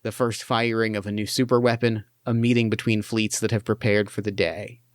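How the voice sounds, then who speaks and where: A man's voice: on the low side, little pitch movement, no room sound. Dry-voiced man narrating as game master. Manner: reflective, halting storytelling with many restarts. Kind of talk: narrating a solo tabletop game.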